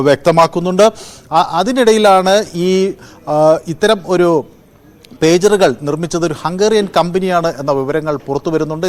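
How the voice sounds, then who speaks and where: A man with a Kerala accent giving an unscripted live news report in halting, pause-filled phrases. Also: a little high.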